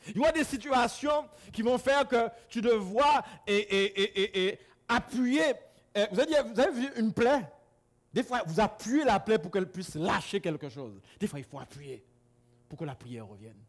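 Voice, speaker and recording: A man from Guadeloupe preaching a sermon to a congregation in a church hall, swinging between loud exclamations and low asides. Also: animated, moderate pace. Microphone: camera-recorded.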